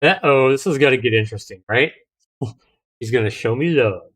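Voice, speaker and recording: A man's voice: medium pitch, normal speed, fairly bright tone. A middle-aged man hosting a livestream, reading a visual novel aloud with casual asides to viewers and occasional stumbles over the text. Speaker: livestream host.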